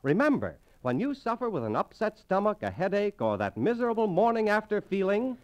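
A man's voice: high-pitched, wildly swooping pitch, fairly quick. An American man reading scripted 1930s radio advertising as an announcer. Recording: restored 1930s radio transcription disc.

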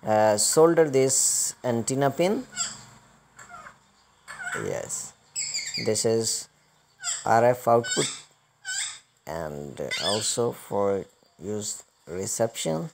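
A man giving step-by-step hands-on repair instructions in a halting, repetitive way. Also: on the low side, very animated, vocal fry, background noise. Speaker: repair tutorial presenter.

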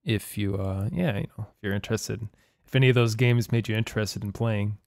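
Hoarse, gravelly young man streaming. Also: on the low side, brisk, darkish tone, no room sound, clean studio sound. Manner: laid-back, swears casually, reads chat aloud. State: hoarse, losing his voice. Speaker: streamer and game caster. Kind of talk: casual live-stream chat. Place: home room at night.

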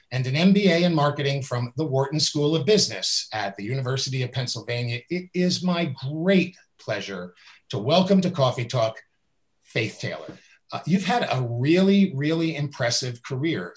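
A middle-aged man hosting a video-call interview, reading a guest introduction in an upbeat, admiring way. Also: mid-range voice. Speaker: interview host. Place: indoors with a virtual background.

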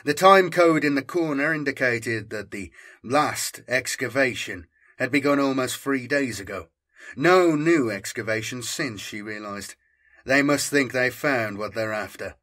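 Slow, measured male audiobook narrator. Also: mid-range voice, a bit bright. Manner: measured, deliberate storytelling. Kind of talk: dramatic scripted narration of science fiction.

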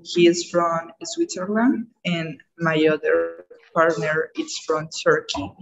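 A woman's voice: low-pitched, fairly even intonation, slow, gravelly, lo-fi sound. Earnest young woman on earphone mic. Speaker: guest speaker. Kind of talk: online webinar answer. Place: indoor room, video call. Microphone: earphone mic on video call.

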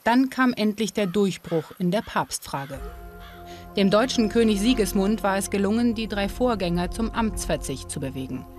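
A woman giving scripted documentary narration in a measured, even storytelling delivery. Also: medium pitch. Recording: narration over loud music.

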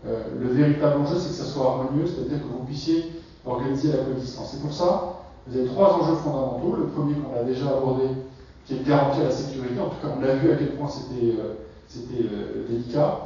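A middle-aged man gives a keynote, his mellow voice picked up from far off in a large, echoing conference hall. He talks in a halting way, full of filler pauses. The sound is dull and lo-fi, with a faint hum of room noise behind him. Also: mid-range voice. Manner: hesitant, many filler pauses. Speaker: keynote speaker. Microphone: far 360 camera in the hall.

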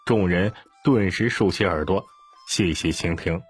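A man's voice: low-pitched, unhurried, slightly rough, faint music behind. A man narrating a scripted audiobook story.

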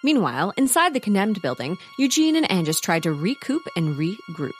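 A woman's voice: mid-range voice, sing-song, fairly quick. A woman narrating a comic scripted story with a wry storybook delivery. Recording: music bed under narration.

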